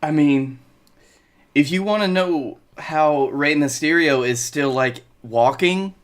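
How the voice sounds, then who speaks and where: A man's voice: on the high side, takes it slowly. A young man chatting casually as a podcast co-host, with a wry, exasperated way of talking. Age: young adult. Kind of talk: casual chat. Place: bedroom at home, on a video call.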